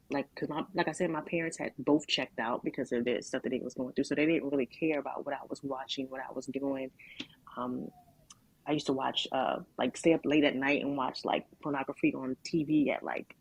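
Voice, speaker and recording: A woman with a deep, low voice and a gravelly, husky edge speaks candidly about her past. She talks in a reflective, halting way, with many restarts and filler words. The sound is close and dry. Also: no echo, rough recording. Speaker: guest sharing her testimony. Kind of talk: candid conversational testimony.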